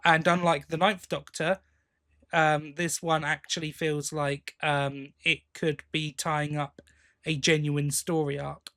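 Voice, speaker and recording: A man with a slightly high voice talks as a podcast co-host. His delivery is casual and rambling, with hesitations and little questions tacked onto the ends of his thoughts. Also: little pitch movement, slow, pushed and bright. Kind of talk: casual chat about audio drama releases.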